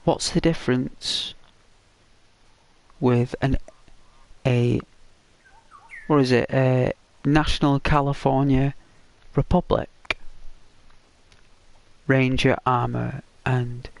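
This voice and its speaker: A man hosting a gaming channel, chatting casually and rambling to his viewers in a croaky, hoarse voice. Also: mid-range voice, level tone, slow pace, long pauses. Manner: rambling, talks straight to viewers.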